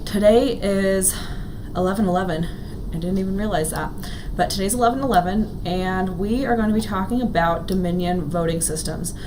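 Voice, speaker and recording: A young woman with a slightly hoarse voice, chatting earnestly to her viewers on a vlog, with plenty of ums and restarts. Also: mid-range voice, moderate pace, noisy background. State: a little hoarse. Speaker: vlogger. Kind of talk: casual talk to camera to live viewers.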